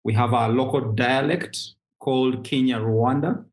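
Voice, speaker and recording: A man's voice: mid-range voice, steady tone, unhurried, rough recording. A man speaking as an interview guest, explaining things with frequent hesitations and fillers. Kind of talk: interview conversation.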